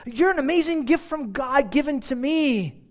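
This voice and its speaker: A lovestruck young husband pours out his affection in a high, swooning voice, as a preacher puts him on. He stretches every word slowly and tenderly, with big upward swings. The lines are drawn out and soft at the edges. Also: animated. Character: young husband gushing love to his wife.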